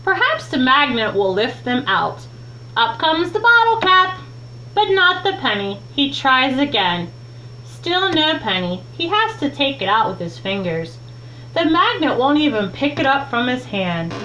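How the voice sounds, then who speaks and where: A young woman with a high, very melodic voice reads a picture book aloud for children. She takes her time, phrasing each line deliberately and expressively. The home recording has clear background noise. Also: very animated, sharp tone, poor audio. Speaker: teacher reading a children's book. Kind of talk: read-aloud storytime narration. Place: small room at home, indoors.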